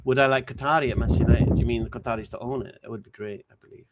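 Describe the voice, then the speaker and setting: A man's voice: mid-range voice, rapid-fire. A man hosting a casual live stream, reading out viewer questions and answering them bluntly. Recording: steady background noise.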